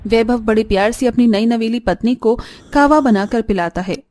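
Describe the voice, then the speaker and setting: High, mellow woman storyteller. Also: normal speed, slight background noise. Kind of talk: scripted cartoon story narration.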